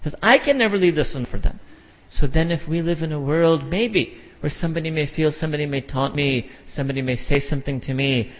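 A fairly high-voiced man preaches with fervor, pressing his listeners with repeated questions. His voice rings out in a long, echoing hall. The sound is muffled and lo-fi. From Pakistan or India. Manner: impassioned, rhetorical questions, mixes Urdu and Arabic phrases. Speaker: Islamic preacher. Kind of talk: sermon.

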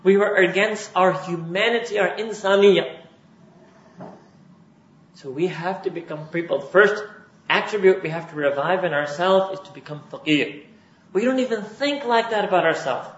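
A man's voice: sits high, normal speed. A man from Pakistan giving a religious lecture as a preacher, building his points with emphatic repetition. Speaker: Islamic preacher. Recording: roomy, dull lo-fi recording.

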